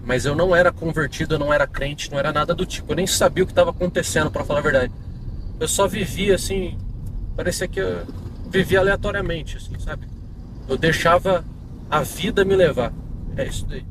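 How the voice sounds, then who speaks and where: A young man with a São Paulo interior accent, telling his conversion testimony in a rambling, casual way, recorded outdoors on a city street. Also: a little high. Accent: São Paulo interior Brazilian Portuguese. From interior of São Paulo state, Brazil. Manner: rambling, many fillers and restarts. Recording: outdoor street with passing traffic.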